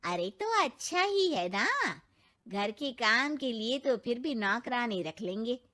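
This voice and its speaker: A woman's voice: on the high side, expressive, slow pace, bright, forceful tone, heavily acted. A woman voicing an outspoken, nagging middle-aged mother-in-law in a family story, scolding and pointed. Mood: approving. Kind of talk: Hindi family story dramatization.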